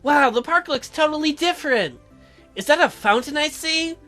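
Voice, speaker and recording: Teenage girl game heroine, as a let's player puts on: chirpy, flustered, halting, lifted sharp voice. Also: high voice, expressive, soft background music, voice pushed up. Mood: excited, nervous.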